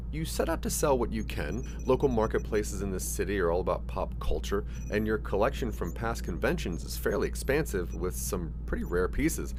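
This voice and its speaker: A man narrating a scripted second-person audio drama like a dramatic storyteller, addressing the listener as the main character. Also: on the low side.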